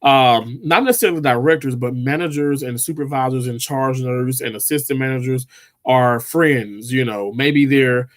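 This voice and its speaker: A man's voice: medium pitch, normal speed. A man, chatting casually with viewers on a livestream, rambling with lots of fillers and restarts. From Mississippi, US. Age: 30s. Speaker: livestream host.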